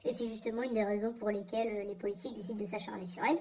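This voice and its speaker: A woman's voice: mid-range voice, level tone, racing, a little mellow, a little hiss or hum. A woman in her twenties answering interview questions with frequent fillers. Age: 20s.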